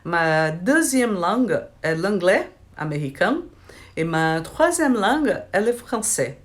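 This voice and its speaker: Slow, hesitant Brazilian-accented woman vlogger. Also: lowish voice, big pitch swings. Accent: Brazilian Portuguese. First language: Brazilian Portuguese. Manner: hesitant, many restarts and fillers. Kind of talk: casual chat to camera. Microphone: phone held in hand.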